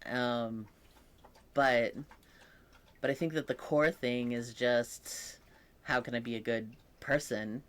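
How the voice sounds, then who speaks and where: Slow, thoughtful man with a croaky edge. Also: mid-range voice, fairly even intonation. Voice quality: occasionally croaky voice. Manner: slow, thoughtful, with many pauses and fillers. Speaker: vlogger. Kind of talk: casual personal update.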